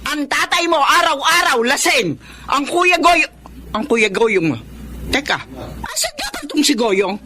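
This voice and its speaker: A nagging middle-aged mother with a high, sharp voice that swings widely. She scolds bitterly and wearily, radio drama style, with slight noise behind. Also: big pitch swings, measured pace, heavily acted. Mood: weary, scolding. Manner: scolding, complaining.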